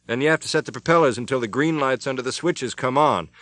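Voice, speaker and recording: A man's voice: medium pitch, fast talker, a bit bright, no echo, studio quality, pitched above the performer's own voice, lightly acted. A man voicing a commanding airline captain who gives step-by-step radio instructions. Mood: instructing. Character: middle-aged airline captain, firm and commanding. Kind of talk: audiobook character voice.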